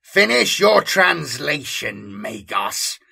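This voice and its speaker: A man voicing a fanatical armoured warlord of dark gods in an audiobook, thundering and declaiming in a piercing voice. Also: fairly high pitch, big pitch swings, takes it slowly, vocal fry, slightly rough, heavily acted. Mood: angry, commanding.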